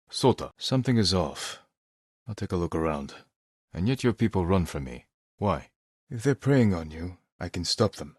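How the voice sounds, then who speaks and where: An actor playing a stern, brooding samurai lord in a video game, speaking in measured, grave, clipped phrases. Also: male voice, low-pitched, breathy edge, no room sound, clean studio sound. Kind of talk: dramatic video game voice acting.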